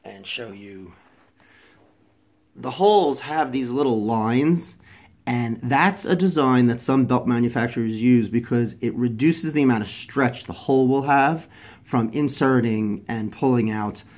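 A man's voice: medium pitch, unhurried. A man with a congested, stuffy voice from a head cold, casually reviewing a product at home with lots of ums and restarts. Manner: chatty, many ums and restarts, drops softer for close-up details. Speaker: fashion vlogger. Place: indoors at home, filming over a carpeted floor.

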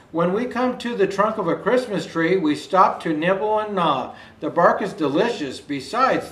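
A man's voice: high voice, fairly bright tone. An older man in the role of a Santa storyteller, reading a children's picture book aloud expressively with asides to viewers. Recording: roomy indoor echo.

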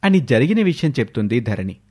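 A man delivering scripted narration as the narrator of an animated moral story. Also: medium pitch, fairly quick.

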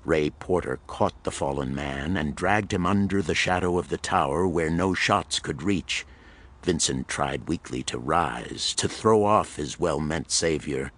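A man's voice: rumbling low, unhurried, fairly bright tone. A man narrating a pulp thriller audiobook in a dramatic, suspenseful storytelling manner.

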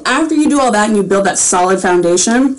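A woman's voice: mid-range voice, expressive, fast, low-quality recording. A woman in her thirties talks casually into a desk webcam from a small room at home, a voice actor fielding fan questions. She rambles from one thought to the next, trips over restarts and laughs lightly in between. Her voice sits in an ordinary middle register. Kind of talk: casual livestream Q&A. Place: small home room with a framed picture behind.

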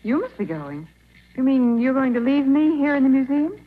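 An actress playing an eager, curious young detective's assistant in a 1940s radio drama. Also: female voice, high-pitched, big pitch swings, normal speed. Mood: dismayed. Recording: tinny, faintly noisy 1940s radio recording.

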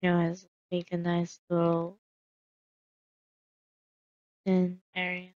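A woman's voice: lowish voice, monotone, slow, no room sound, dull audio. A woman casually narrating her solo game roleplay, musing aloud with hesitant fillers.

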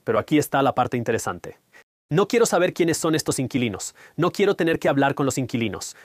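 A man's voice: medium pitch, no echo, clean studio sound. A man in his thirties, a native Punjabi speaker, teaching a whiteboard finance lesson in a direct, explanatory way, speaking straight to the viewer. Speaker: finance educator.

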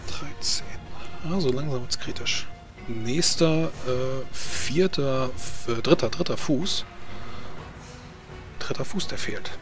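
A man's voice: mid-range voice, slow pace, long pauses, over music. A man speaking as game master of a tabletop role-playing session.

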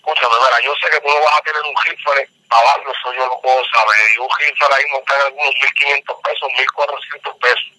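A man's voice: medium pitch, fairly even intonation, fast, few pauses, pushed and bright, dry recording. A man making an extortion phone call, pressuring and intimidating the listener, over a tinny phone line. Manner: pressuring, intimidating, repeats "me entiendes". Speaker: phone scam caller. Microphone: telephone.

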